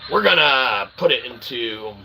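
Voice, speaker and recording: A man's voice: mid-range voice, animated, unhurried, creaky, heavy background noise. A man hosting his own channel in a rambling, self-promotional monologue, sarcastic and self-mocking, who keeps asking "okay?". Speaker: independent channel host.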